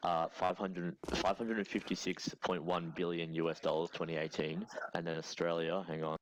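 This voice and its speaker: A man with a very deep voice speaks over in-game voice chat, eagerly reading out figures. The line carries clear background noise. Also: flat delivery, normal speed, low-quality recording.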